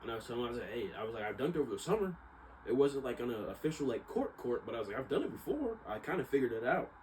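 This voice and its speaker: A man's voice: medium pitch, sing-song, very fast, darkish tone, a little hiss or hum, rough recording. A young American man telling a story casually, with a rambling, slangy manner, often correcting himself. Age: young adult. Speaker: vlogger, exercise science student.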